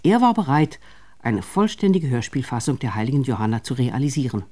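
A male narrator reads a scripted radio documentary. His voice is mellow and relaxed, sitting at an average pitch. The delivery is formal and measured.

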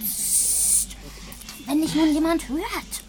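An actress in a German radio drama playing a timid, naive sidekick to a killer, hesitant, stammering and easily hurt. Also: female voice, sits high, lively intonation, slow pace. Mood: wary.

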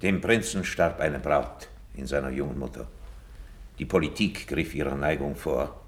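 Scheming duke: deep voice, cold, measured, insinuating, formal classical radio drama diction. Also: male voice. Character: cold, calculating male duke, scheming courtier. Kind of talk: 1950s radio drama acting, classical stage German.

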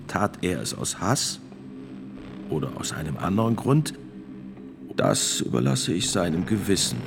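An actor playing a grave priest and notary of sixty-six, testifying in a radio drama with gravelly, deliberate, formal speech. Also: male voice, deep voice, big pitch swings, noisy background, heavily acted. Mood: guarded, grave. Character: sixty-six-year-old male priest and court notary, grave witness.

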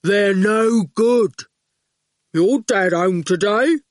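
A woman voicing a huge, dim, grinning male goon who talks in slow, blunt, clipped phrases in a storybook reading. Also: medium pitch, dry recording, clean studio sound. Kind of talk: audiobook character voice.

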